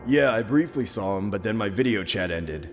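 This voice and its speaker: A man's voice: on the low side, expressive, moderate pace, reverberant, distant mic. A man voicing startled young male students on a video chat in a horror story, casual and uneasy. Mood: bewildered. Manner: casual, uneasy slang speech. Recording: loud music behind.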